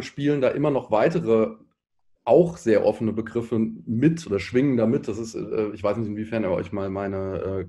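Deep, mellow-voiced man, haltingly reflective. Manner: halting, searching, often rephrasing mid-sentence. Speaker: panel guest, pop musician. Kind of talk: reflective panel discussion.

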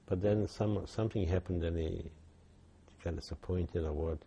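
Older man, very deep gravelly voice. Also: fairly even intonation, dark tone. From Poland. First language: Yiddish. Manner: halting, many restarts and fillers. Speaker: interviewee. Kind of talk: oral history testimony.

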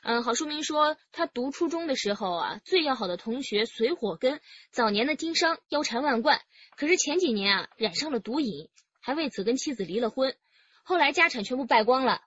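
An actress playing an earnest, orderly police detective in a radio drama. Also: female voice, high voice, expressive, brisk, a bit bright, muffled recording. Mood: earnest, factual.

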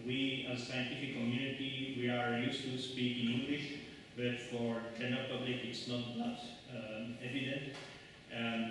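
Slow, halting man in an echoey hall. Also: medium pitch, recorded from a distance, noisy background. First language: Dutch. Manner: hesitant, many ums and restarts. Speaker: conference speaker. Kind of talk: scientific conference talk. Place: large lecture hall. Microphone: lectern mic, far camera.

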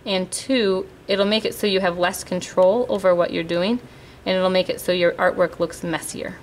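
A woman explaining a watercolor painting lesson step by step in a calm, patient way while she paints at a table. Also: on the low side, moderate pace, a little hiss or hum. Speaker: art teacher. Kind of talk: painting demonstration. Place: indoors at a table, hands-only overhead camera.

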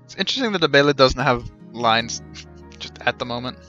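A man's voice: mid-range voice, animated, a little fast, sharp tone, highs cut off. A man in his thirties giving casual, wry live game commentary, playfully muttering and repeating himself. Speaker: game streamer. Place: small indoor room with shelves. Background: game music.

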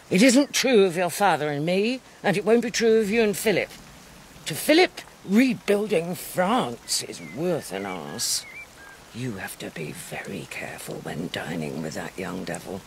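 A woman's voice: rumbling low, very animated, a little slow, a little hiss or hum, exaggerated voice. Imperious ageing queen mother, wildly swooping. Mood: scolding. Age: older. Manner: bawdy, imperious, urgent. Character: shrewd, blunt, commanding ageing queen mother. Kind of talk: historical radio drama acting.

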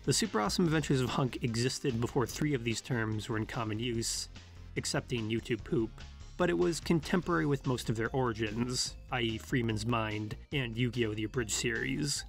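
A man narrating a scripted analysis in a measured, formal manner as a video essayist. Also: mid-range voice, creaky. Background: music.

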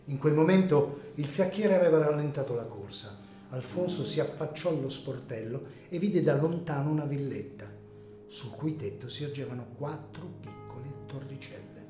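A man narrating a dramatic story from a script in a measured, solemn, literary manner. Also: a little high, warm tone. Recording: echoey room, music underneath.

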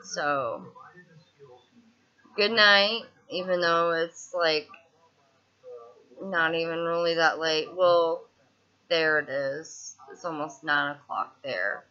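A young woman with a Southern US twang talks straight into her laptop webcam from a small room, venting her frustrations in a loose, rambling video diary. Her voice is bright and forward, and it often stumbles over restarts and fillers. The audio is dull and lo-fi, typical of a built-in webcam mic. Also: low-pitched, slow, pauses often. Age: 20s. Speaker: vlogger. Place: small indoor room, seated on a couch.

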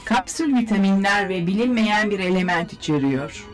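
A woman playing a calm, helpful computer assistant in a dubbed cartoon, measured and matter-of-fact. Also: mid-range voice, soft background music, natural voice. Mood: calm, factual.

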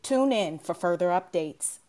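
A woman's voice: fairly low pitch, animated, a little slow, mellow. A woman voicing a matter-of-fact breaking news anchor at a screenplay table read. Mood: grave, formal.

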